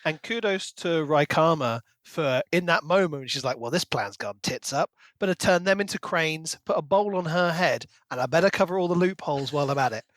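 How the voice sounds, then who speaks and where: Man, higher voice, very dry sound. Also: lively intonation, a little fast, hardly any pauses, a bit bright, dry recording. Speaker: podcast co-host. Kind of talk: casual book discussion.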